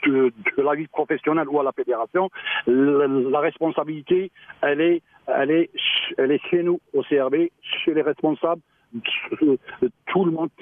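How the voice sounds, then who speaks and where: A man, a local mayor, speaking on a radio phone-in, halting and repeating words, with a choked-up voice. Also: fairly high pitch, fairly even intonation, no room sound. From Algeria. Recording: tinny phone line.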